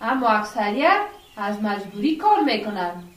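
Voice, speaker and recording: Lady acting earnest teacher in radio play, explains firmly, lively pitch, bit off mic. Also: natural voice. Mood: explaining. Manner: didactic, explanatory. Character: earnest village schoolteacher, adult woman, gently firm. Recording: echoey room, distant from mic.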